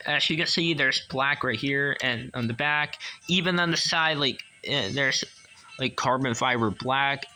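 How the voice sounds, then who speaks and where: A man giving a casual hands-on toy review as a collector, enthusiastic but halting and repetitive. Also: mid-range voice, sharp tone.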